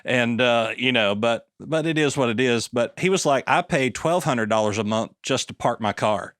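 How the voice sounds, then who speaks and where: A man's voice: medium pitch, normal speed, a bit bright, dry recording, studio quality. A man in his thirties with a Southern accent, hosting a casual interview and telling chatty stories with acted-out quotes. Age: 30s. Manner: chatty storyteller, acts out quoted voices. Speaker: podcast host.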